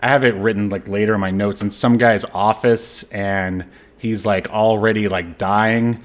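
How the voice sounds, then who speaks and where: Low-voiced laid-back podcast co-host man. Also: fairly even intonation, moderate pace. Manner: laid-back, hedging with frequent fillers. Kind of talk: casual chat.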